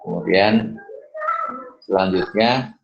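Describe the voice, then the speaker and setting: A middle-aged man with a very deep voice works through exam problems for his students. He moves unhurriedly, tagging his phrases with "ya" and stopping for "eh". The recording sounds dull and muffled. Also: vocal fry, slight background noise. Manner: unhurried, fills pauses with "ya" and "eh". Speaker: accounting lecturer.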